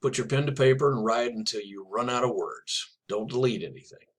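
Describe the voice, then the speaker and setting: A man's voice: mid-range voice, expressive, brisk. A man teaching writing in a video lesson. He talks casually and encouragingly, with small laughs now and then. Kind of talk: instructional video talk.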